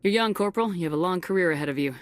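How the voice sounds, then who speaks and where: An actress playing a calm, authoritative female commander in a video game cutscene, speaking in a firm, clipped way. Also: fairly low pitch, very fast, no room sound, natural voice.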